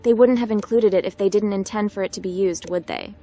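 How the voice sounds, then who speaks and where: A woman's voice: medium pitch, fast, lightly acted. A woman playing a cocky young hacker pilot who talks herself through things in a roleplay game. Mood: wry, determined. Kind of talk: fully voiced roleplay game protagonist. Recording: loud music under the voice.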